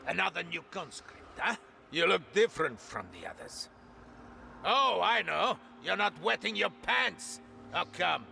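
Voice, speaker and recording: An actor playing a gruff, battle-hardened army commander in a video game, with a gravelly voice and a blunt, commanding manner. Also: male voice, high voice, big pitch swings, a little slow, slight background noise, exaggerated voice. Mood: gruff, businesslike. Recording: loud music behind the voice. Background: dramatic game music.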